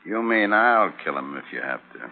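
An actor playing a cold, taunting, menacing hired gunslinger in a radio drama. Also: male voice, sits low, expressive, moderate pace, noisy background. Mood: eager, cold. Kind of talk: 1950s radio drama acting. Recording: tinny old radio recording.

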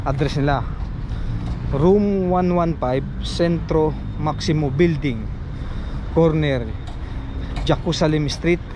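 Male vlogger, 30s, slightly higher-pitched, halting informal delivery to handheld camera, heavy urban noise behind. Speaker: vlogger and host. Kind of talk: informal vlog listing agencies to camera. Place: outdoors by urban mall buildings. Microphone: camera held at arm's length, masked speaker. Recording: loud outdoor city traffic noise. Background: loud traffic and street ambience.

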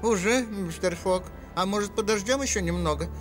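Worried fussy bear companion, slightly high voice. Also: male voice, normal speed, a bit bright, faint music behind. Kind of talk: dubbed cartoon acting.

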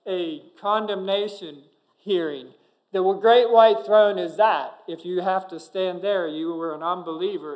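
A middle-aged man preaching from a church pulpit in a fairly high voice. He delivers the sermon earnestly, with sudden bursts of loud emphasis and frequent restarts. The sound comes through a pulpit microphone in a church sanctuary. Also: low-quality recording. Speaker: pastor. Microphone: pulpit microphone, far camera.

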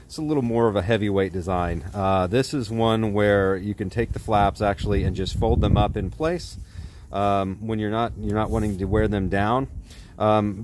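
A man's voice: lowish voice, darkish tone. A man in his forties with an American accent gives a casual, conversational gear review outdoors in a backyard.

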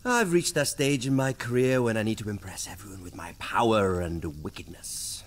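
An actor playing a vain, flippant young wizard in a radio drama, haughty and theatrical. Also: male voice, fairly low pitch, moderate pace, close to an everyday voice. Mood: boastful, dismissive. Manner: haughty, flamboyant, evasive.

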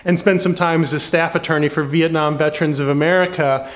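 A middle-aged man at a lectern microphone in a conference hall, briskly introducing a keynote speaker. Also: a little high, steady tone. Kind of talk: formal introduction.

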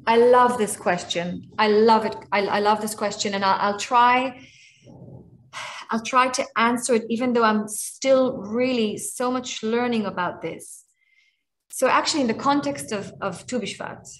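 A woman's voice: fairly high pitch, low-quality recording. A woman in her forties with an Israeli accent and Hebrew as her first language, speaking earnestly on a video call from a small room at home, often restarting her sentences. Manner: earnest, warm, restarts often, slows on emotional points. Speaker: guest speaker and author. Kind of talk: heartfelt talk on a video call. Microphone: webcam on a video call.

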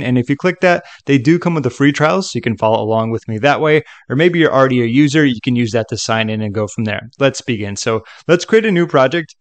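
A man narrating a software tutorial in a casual, conversational way with chatty asides. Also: mid-range voice, no room sound.

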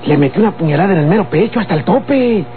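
A man playing a callous, simple young Mexican country henchman in a radionovela, halting and matter-of-fact. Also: sits high, animated. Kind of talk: Mexican golden-age radionovela acting. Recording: tinny old radio, faint hum.

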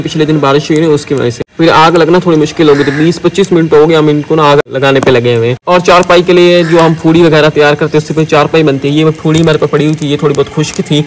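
A man with a Pakistani accent, chattily explaining a recipe as a casual village cooking vlogger. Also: fairly high pitch. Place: outdoors in village fields and a brick-walled courtyard. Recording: clear outdoor ambient noise.

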